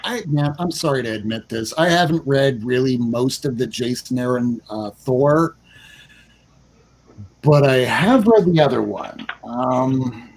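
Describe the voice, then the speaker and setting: A man's voice: mid-range voice, animated, measured pace, darkish tone, slight background noise. A man in his forties in casual podcast chat, rambling enthusiastically about comics with mild swearing. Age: 40s. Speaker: podcast co-host.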